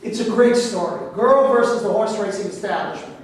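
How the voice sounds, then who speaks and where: Earnest proud reporter guy actor reading a play, high voice, far from mic, faint noise. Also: fairly quick, very echoey, long reverb tail, close to an everyday voice. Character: earnest, protective male news reporter, proud and persistent. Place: echoey room at a live staged reading.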